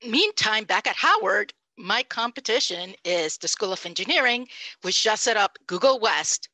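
Lively Puerto Rican woman on headset. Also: medium pitch, normal speed, dry recording. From Puerto Rico. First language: Spanish. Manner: animated, emphatic, conversational. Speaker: panelist, language professor. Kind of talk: panel talk over video call. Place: home room, indoors. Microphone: headset mic.

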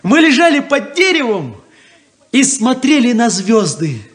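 A man playing an excitable, boastful, lovestruck younger brother in a 1970s Soviet radio play, with a teasing, drawn-out delivery. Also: very high-pitched, full character voice. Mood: dreamy, elated.

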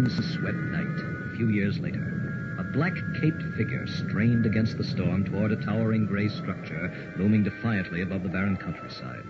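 An actor playing an ominous, dramatic storyteller narrating a gothic old-time radio horror tale. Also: male voice, on the low side. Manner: dramatic, portentous. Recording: dull old radio recording, music behind.

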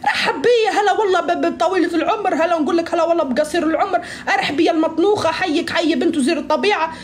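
Fast-talking high-voiced young woman streamer. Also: slight background noise. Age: 20s. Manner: playful, mock-pious teasing, switching dialects. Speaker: live-stream host. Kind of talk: teasing live-stream chat. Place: small room indoors. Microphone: phone at arm's length.

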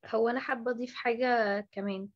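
A woman's voice: on the high side, steady tone, quick pace. Egyptian woman over dull call audio. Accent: Egyptian Arabic. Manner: gives advice with hesitant fillers. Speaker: session participant.

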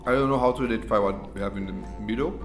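Exec, mid-pitched, unhurried, expressive, music playing behind. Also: male voice. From Brazil. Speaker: head of operations tasting food. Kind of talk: casual on-camera reactions. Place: indoor studio set at a table.

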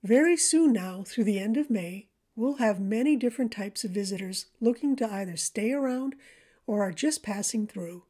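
Female nature-video narrator, soft-edged mellow tone, measured and gentle, mid-range pitch. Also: expressive. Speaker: presenter of a backyard birding video for a library. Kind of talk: scripted voice-over narration.

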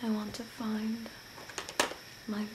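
A young woman whispering breathily and softly in a soothing ASMR role play, close to the mic as if giving personal attention. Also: on the high side, monotone, slow pace. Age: young adult. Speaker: ASMR role-play performer, makeup artist. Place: small room at home, by a window. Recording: noisy home recording with ambient hiss.

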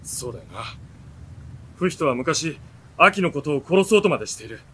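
An actor playing an observant young companion who narrates an adventure in a dramatic, scripted radio style. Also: male voice, on the high side, big pitch swings, a little fast, fairly bright tone, slightly rough, full character voice. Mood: wary.

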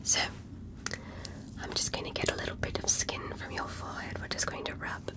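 A woman voicing a gentle alien medical examiner in an ASMR roleplay, soothing, reassuring and politely clinical. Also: fairly quick, lightly acted. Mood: soothing, calm.

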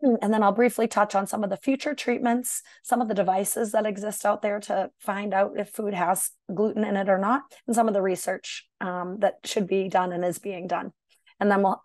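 Raspy-voiced woman doctor giving a webinar. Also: medium pitch, darkish tone, no room sound. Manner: explanatory, conversational with fillers. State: hoarse, clearing throat. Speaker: gastroenterologist presenting a webinar. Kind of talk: medical webinar presentation. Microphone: video call.

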